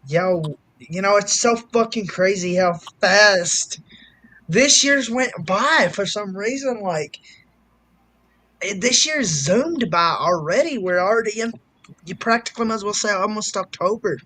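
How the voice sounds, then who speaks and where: A man in his twenties chatting casually on a live stream, excitable and swearing freely. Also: high-pitched, unhurried. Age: 20s. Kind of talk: casual chat.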